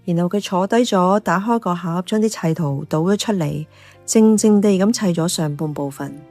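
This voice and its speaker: A woman's voice: on the low side, moderate pace, darkish tone, faint music behind, lightly acted. A woman narrating a radio drama in the first person as an observant café worker, in a scripted storytelling style. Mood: calm, observant.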